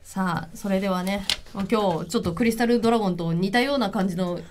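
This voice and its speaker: A woman hosting a radio contest segment with lively banter, speaking in a studio. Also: medium pitch, quick pace, background noise. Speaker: radio show host. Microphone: studio condenser microphone.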